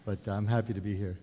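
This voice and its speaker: A middle-aged man from Palestine with a Palestinian Arabic accent, sharing his personal story in a relaxed, conversational way with many fillers and restarts during a church service. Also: lowish voice, a little hiss or hum. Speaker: guest speaker. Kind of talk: personal testimony at a worship service. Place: church sanctuary. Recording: long church reverb.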